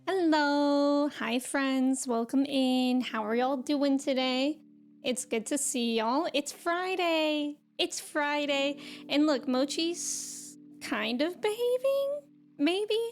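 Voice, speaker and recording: A woman with a high voice hosts a casual game livestream. She talks informally and in a chatty, giggly way as she welcomes viewers. Faint music plays behind her. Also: expressive, slow. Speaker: live game streamer hosting.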